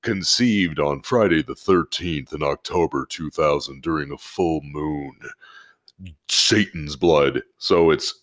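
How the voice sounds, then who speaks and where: Male podcast co-host, low-pitched voice, casual and conversational. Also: takes it slowly, fairly bright tone. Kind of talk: casual group chat.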